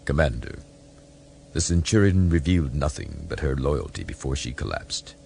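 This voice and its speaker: Guy doing a low voiced alien science officer for an audiobook, very logical, formal, no emotion, bit gravelly. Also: sing-song, moderate pace, a little mellow, slight background noise, heavily acted. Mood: cool composure. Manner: formal, precise, unemotional. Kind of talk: audiobook character voice.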